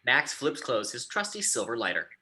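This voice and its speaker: A man's voice: medium pitch, big pitch swings, moderate pace, sharp tone. A man narrating the scene headings and action lines of a screenplay at an informal table read. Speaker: narrator of stage directions.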